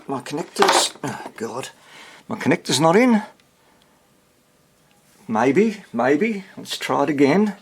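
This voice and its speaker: A man giving a casual hands-on repair demonstration, muttering to himself and pausing between short phrases as he thinks aloud. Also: on the high side, sing-song, husky. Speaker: hobbyist radio repairer presenting his workshop video.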